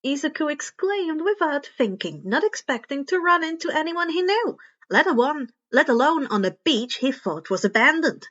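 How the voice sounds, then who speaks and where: A woman reading a story aloud as an expressive narrator. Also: sits high, normal speed, lo-fi sound. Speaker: podfic narrator reading fan fiction.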